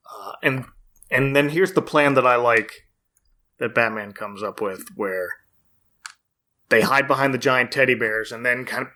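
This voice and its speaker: A man's voice: mid-range voice, sharp tone. A man hosting a podcast, recapping something casually in an enthusiastic, amused way. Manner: enthusiastic, amused, laughs often while talking. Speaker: podcast host. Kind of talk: casual episode recap chat.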